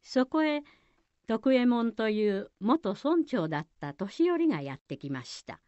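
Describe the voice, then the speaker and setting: A woman's voice: on the high side, big pitch swings, a little slow, mellow, dry recording, dull audio. A woman giving scripted narration for a folk tale, with background noise around her. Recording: clear outdoor-like background noise.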